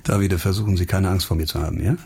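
An actor playing a disgraced ex-doctor just out of prison in a radio crime drama, wry and weary, with hesitations and muttered sardonic asides. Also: male voice, low-pitched, fairly even intonation, rapid-fire, dark tone, husky, full character voice. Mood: cool, probing.

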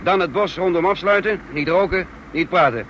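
A man's voice: sits high, moderate pace, lightly acted. Commanding male officer, played by an actor for radio drama; clipped, authoritative delivery, dull slightly noisy sound. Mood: brisk, commanding. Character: brisk commanding male military officer leading a raid.

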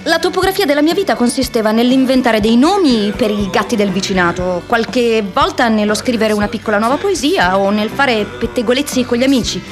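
An actress playing a restless, wry young American woman activist in an expressive, introspective radio drama monologue. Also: on the high side, brisk, hardly any pauses, music in the background, close to an everyday voice. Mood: wistful, reflective. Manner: expressive, introspective, shifts between playful and wistful.

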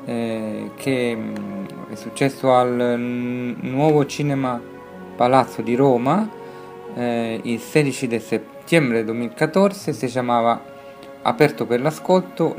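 A man with a Spanish accent, a native Spanish speaker, presenting on radio haltingly and searching for words, mixing in Spanish. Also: mid-range voice, darkish tone, faint music behind. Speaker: radio host.

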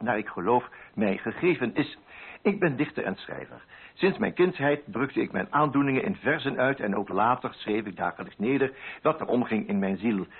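A man's voice: mid-range voice, slightly rough. A man voicing an earnest, courteous, needy poet in a formal, pleading letter for a radio drama. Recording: muffled, band-limited radio drama recording.

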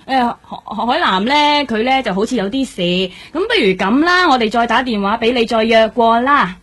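A woman's voice: a little high, expressive, normal speed, a bit bright. A woman with a Hong Kong accent playing a jittery, easily spooked companion in a radio drama, nervous and exclamatory. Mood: flustered, hurried. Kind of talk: Cantonese radio drama acting.

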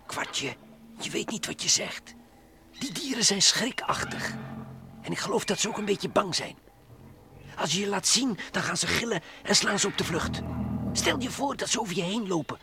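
An actor playing a bossy, admonishing space adventurer who lectures and grumbles in a children's radio play. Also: male voice, high voice, expressive, normal speed, music in the background. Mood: cautioning. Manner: didactic, admonishing.

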